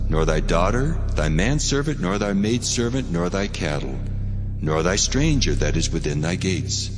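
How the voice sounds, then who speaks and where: God, a booming voice a man puts on. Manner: solemn, slow pronouncement. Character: God, a deep commanding divine voice. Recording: long hall reverb. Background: music.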